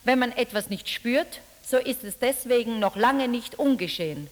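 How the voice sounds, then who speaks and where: An actress playing a mock-earnest, cruelly bitter female narrator in an experimental radio drama, reciting in a declamatory, deliberate, ironic way. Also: on the high side, lively intonation, a little hiss or hum, lightly acted. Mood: bitter, scornful.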